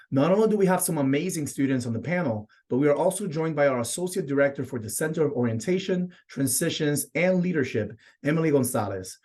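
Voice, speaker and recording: A man's voice: fairly high pitch, darkish tone. Young man reading a formal welcome. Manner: measured, formal, read from a script. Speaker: admission counselor, moderator. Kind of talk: scripted webinar introduction. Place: small office. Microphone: laptop mic on video call.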